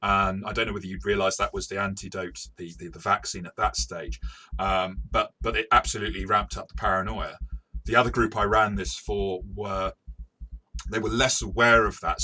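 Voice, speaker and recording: A man's voice: fairly low pitch, fairly even intonation, normal speed, bright, forceful tone. A man talking conversationally as a game master reviewing a game, circling his phrases and repeating words as he goes.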